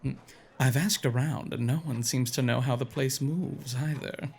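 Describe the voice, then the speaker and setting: A man's voice: medium pitch, fairly even intonation, normal speed, creaky, a little mellow, close to an everyday voice. A man playing an enthusiastic, curious, teasing bard in a fantasy audio drama. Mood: fascinated. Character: excitable, curious male bard, a tavern patron.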